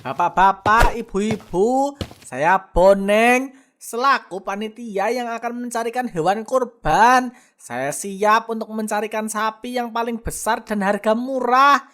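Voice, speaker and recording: An eager young man organizing an event in a cartoon drama. His voice is very high and his delivery slow and earnest, like a formal announcement. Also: fairly bright tone.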